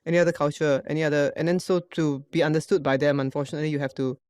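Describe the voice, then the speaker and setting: A woman's voice: low-pitched, racing, no echo. A woman with a Singaporean English accent, a performer chatting as a podcast guest, laughing often and dropping in local particles. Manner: lively, laughs often, uses "lah" and "lor". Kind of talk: casual podcast chat. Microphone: studio microphone.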